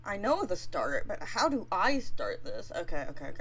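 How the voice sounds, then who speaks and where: Animated woman telling a story casually. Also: on the low side, moderate pace, a little hiss or hum. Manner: chatty and informal, with playful asides. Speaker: livestream storyteller and host. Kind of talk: casual, improvised retelling of a myth.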